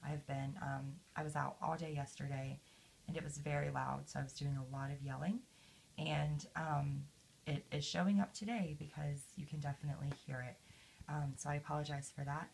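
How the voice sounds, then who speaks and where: A hoarse woman casually showing her collection at home, talking gently with many ums. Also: sits low, fairly even intonation, moderate pace, slight background noise, poor audio. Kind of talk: casual show-and-tell. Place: indoors at home, camera over a table. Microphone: handheld camera mic, speaker off-camera.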